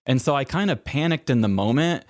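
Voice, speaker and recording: A man with a medium-pitched voice tells comic stories as a podcast host. He is vivid and self-deprecating, and the sound is close and dry. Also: animated, brisk, a little mellow, no room sound. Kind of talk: casual comedic storytelling.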